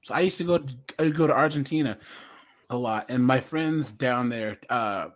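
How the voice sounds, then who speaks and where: A man's voice: medium pitch, moderate pace. An American man arguing his points emphatically in a casual live online group discussion. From United States. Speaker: live stream participant.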